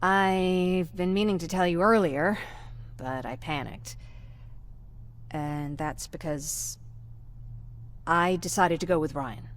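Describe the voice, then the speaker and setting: A woman playing a gentle, wistful young survivor with a creaky voice in a video game. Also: on the low side, slow pace, long pauses, slight background noise. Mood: hesitant, protective. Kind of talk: video game dialogue acting.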